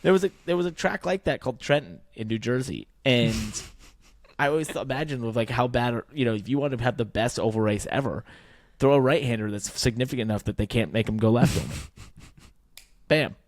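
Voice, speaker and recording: A man talks like a podcast co-host in loose, colloquial banter, animated and quick to joke. His pitch sits in the middle, and the sound is dry with little room in it. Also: dry recording. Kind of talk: casual motorsports banter.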